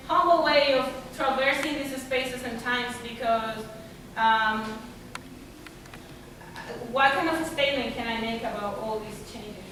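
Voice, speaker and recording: A woman from Argentina answering audience questions at a symposium, pausing often and searching for words. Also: a little high, sharp tone, a little hiss or hum. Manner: halting, searching for words, many fillers. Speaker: artist at a symposium. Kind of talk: reflective Q&A answer. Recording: echoey lecture room from far off.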